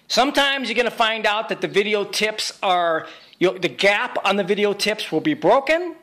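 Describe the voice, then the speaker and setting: A man with a noticeably high voice narrates an informal how-to. He talks like a hands-on technician sure of his own skill. His delivery is emphatic and boastful. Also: fairly bright tone. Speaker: video repair technician.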